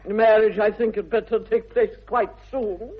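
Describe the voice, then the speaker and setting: An actress playing a haughty older aristocratic English aunt, grand and emphatic, in a 1960s radio comedy. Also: female voice, medium pitch, fairly even intonation, normal speed, a little hiss or hum, natural voice. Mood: commanding. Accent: upper-class English. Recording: muffled, tinny vintage radio recording.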